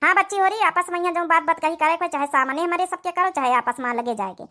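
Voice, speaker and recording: Woman acting a piercingly high-voiced Awadhi village woman. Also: quick pace, bright, forceful tone. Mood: earnest, insistent. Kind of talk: cartoon comedy-drama dialogue in village dialect.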